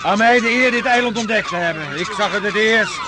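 An actor playing a proud, needling rival captain, pompous and formal, in a historical radio drama. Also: male voice, high-pitched, a little fast, very noisy, close to an everyday voice. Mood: smug.

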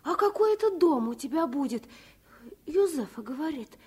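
A woman's voice: high voice, big pitch swings, moderate pace, a little mellow. A woman playing a curious, earnest small daughter with childlike questioning speech in a 1950s Soviet radio drama. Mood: innocent curiosity.

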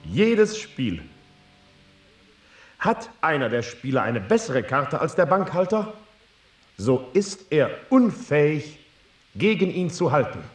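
An actor playing an obedient stage volunteer in a radio drama, declaiming written notes phrase by phrase. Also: male voice, on the high side, very animated, slow pace, long pauses, faint music behind. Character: male stage volunteer obediently reading out card-game notes. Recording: echoing stage hall sound.

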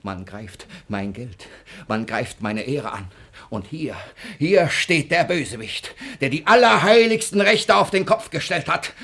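Miserly old father, raspy, sharp forceful tone, wildly swinging pitch, sputtering, suspicious, classic radio comedy. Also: animated, a little slow, exaggerated voice. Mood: aggrieved. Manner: sputtering, repeating himself, exclaiming.

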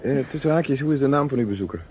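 An actor playing a shrewd, self-assured police inspector in a radio play, measured, polite and casually probing. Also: male voice, medium pitch, expressive, lightly acted. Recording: dull, noisy old radio recording.

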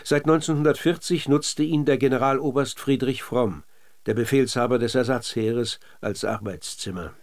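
A man narrating scripted documentary radio in a measured, factual manner. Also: medium pitch.

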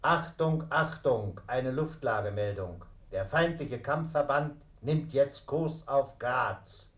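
An actor playing an official 1940s German radio announcer who reads air-raid warnings in a formal, clipped, impersonal manner, heard through an old radio set. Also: male voice, mid-range voice, takes it slowly, a bit bright. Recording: dull, echoey old radio sound.

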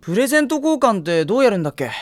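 An actor playing a mild, friendly teenage boy in an anime audio drama, speaking gently and casually. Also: very high voice, sing-song, very fast.

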